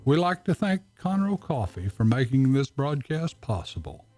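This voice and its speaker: A man's voice: mid-range voice, sing-song, moderate pace, a little mellow. A man working as a radio announcer, reading scripted closing credits for a drama. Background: music.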